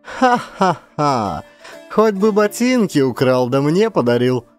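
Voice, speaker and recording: A man's voice: on the high side, big pitch swings, takes it slowly, soft background music. A male actor voicing a brazen, mocking young peasant woman with a cheeky manner in an audiobook play. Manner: taunting, cheeky.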